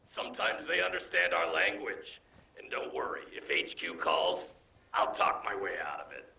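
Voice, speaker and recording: An actor playing a brash, overconfident, scheming male soldier in a video game, animated and gravelly. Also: lowish voice, moderate pace, in a whisper, full character voice. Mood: sly. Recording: distant, roomy, faint noise.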